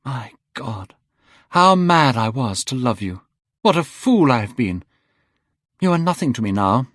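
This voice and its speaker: A man's voice: medium pitch, lively intonation, moderate pace, no echo, voice pushed up, natural voice. A man voicing a proud, passionate young man who speaks coldly and bitterly, in a dry audiobook recording. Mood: furious. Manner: cold and cutting, then bitter.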